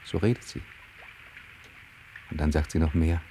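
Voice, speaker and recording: A man's voice: rumbling low, fairly even intonation, normal speed, warm tone, poor audio. An actor playing a stubborn, musing young man in a radio play, muttering an inner monologue. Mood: sulky, brooding. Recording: faint background noise and ambience.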